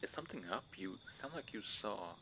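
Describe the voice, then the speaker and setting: A man's voice: lowish voice, animated, brisk, a bit bright, reverberant, a little hiss or hum, rough recording. A young man acting in an audio roleplay as an awkward, sardonic friend who is secretly a monster, talking casually and hesitantly to the listener. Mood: uneasy.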